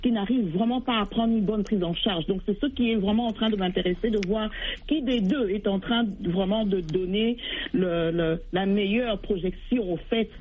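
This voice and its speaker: A woman's voice: mid-range voice, moderate pace, slightly rough. Woman on a tinny phone line. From Congo. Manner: earnest, repeats words while searching for phrasing. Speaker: caller, voter. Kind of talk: radio call-in commentary.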